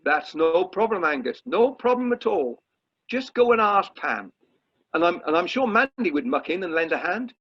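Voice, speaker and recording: A man playing a jovial, flattering older society chairman in an amateur radio play, repeating himself as he gushes. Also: high-pitched, moderate pace, breathy edge, full character voice. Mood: encouraging. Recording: muffled video call audio.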